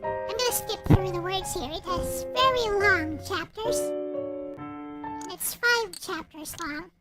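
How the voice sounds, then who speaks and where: Very high, childlike woman streamer. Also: slow. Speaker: streamer and visual novel writer. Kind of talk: casual livestream chat showing own work. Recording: loud music behind the voice. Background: loud music and game sound effects.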